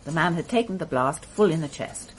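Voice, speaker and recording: A brisk, dryly witty doctor. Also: female voice, low-pitched, expressive, slight background noise, poor audio. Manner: brisk, dry. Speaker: police doctor. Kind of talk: radio drama acting.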